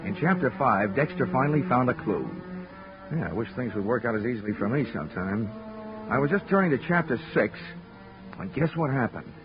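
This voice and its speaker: An actor playing a cocky, wisecracking insurance investigator in 1940s radio detective style. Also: male voice, mid-range voice, expressive, a little fast, natural voice. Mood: wry narrating. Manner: dry, wisecracking. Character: wry, cocky hard-boiled male insurance investigator. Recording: dull old radio recording with music.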